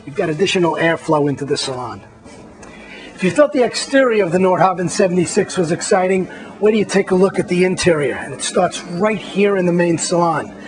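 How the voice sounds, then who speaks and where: A man's voice: high-pitched, expressive, a little fast, low-quality recording. A man, a magazine editor, walking viewers through a boat and pointing out its features one by one in an upbeat tour narration.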